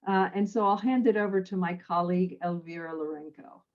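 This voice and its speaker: A woman's voice: on the low side, a little fast, darkish tone, lo-fi sound. A middle-aged woman from French Canada and the United States, a psychologist speaking in a casual panel chat, rambling and correcting herself. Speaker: psychologist guest.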